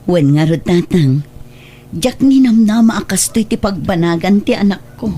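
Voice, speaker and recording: A woman's voice: medium pitch, animated, moderate pace, dark tone, natural voice. A woman playing a proud, devoted middle-aged mother of a grown daughter in a radio drama. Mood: earnest. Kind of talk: Ilocano radio drama acting.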